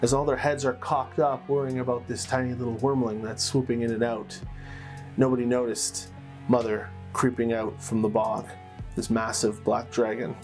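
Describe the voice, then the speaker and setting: Male game master storyteller, mid-pitched, dramatic fantasy narration with a casual touch, faint plucked-string music underneath. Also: flat delivery, normal speed. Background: soft plucked-string music.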